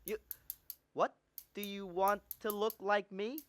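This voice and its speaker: A young man playing an earnest, excitable, self-doubting small-town husband with halting speech in a student radio drama. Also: high-pitched, dry recording. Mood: dejected. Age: young adult. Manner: halting, stammering.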